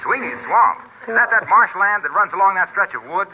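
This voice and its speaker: High, animated radio pitchman. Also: a little fast. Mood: concerned. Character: eager, earnest salesman forever pitching floor wax. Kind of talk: 1940s radio comedy acting with sales pitch. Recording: old radio broadcast, tinny, noisy.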